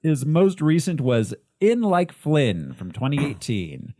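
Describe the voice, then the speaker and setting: A man's voice: a little high, sing-song, takes it slowly, warm tone, dry recording, studio quality. A man co-hosting a casual movie podcast, listing a director's films with frequent ums and small laughs. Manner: rattles off lists with many ums and laughs. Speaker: podcast co-host.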